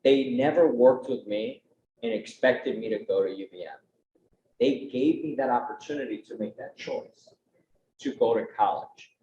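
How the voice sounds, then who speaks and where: A man's voice: mid-range voice, normal speed, dark tone. A young adult man speaking earnestly on a conference panel, with a conversational style and frequent tag questions. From United States. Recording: roomy, dull, faint background noise.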